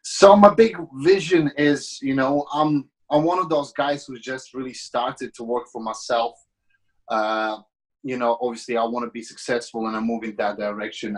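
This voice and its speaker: A man of about thirty with a Latvian accent carrying a slight British tinge. He talks like a podcast guest, friendly and rambling, and often restarts his sentences. His voice sits at an ordinary middle pitch. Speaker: podcast guest, business owner. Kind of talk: casual interview chat.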